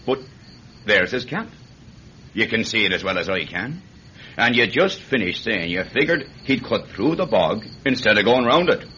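An actor playing a stern, exasperated country judge, formal and skeptical, in a radio drama. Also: male voice, fairly low pitch, very animated, normal speed, pushed and bright. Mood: doubtful. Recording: muffled, slightly noisy old-style radio recording.

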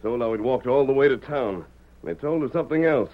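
A man's voice: medium pitch, lively intonation, fast talker, natural voice. An actor playing a resolute, fair-minded American hero in an old radio Western, earnest and formal in his diction. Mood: grave, determined. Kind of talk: 1940s Western radio drama acting. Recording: dull old radio recording.

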